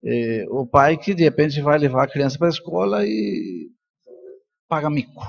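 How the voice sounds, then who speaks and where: A man's voice: fairly high pitch, animated, unhurried, creaky, lo-fi sound. An older man from Colombia, a native Spanish speaker, giving an informal, reflective conference talk with personal stories. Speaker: neuroscientist and professor speaking at a congress.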